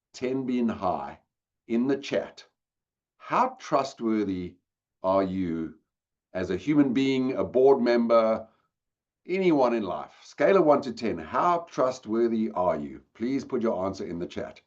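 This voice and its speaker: A man's voice: mid-range voice, a little mellow, lo-fi sound. A man with a South African accent giving a webinar talk, addressing the audience directly and engagingly. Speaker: guest speaker. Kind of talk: webinar presentation. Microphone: video call.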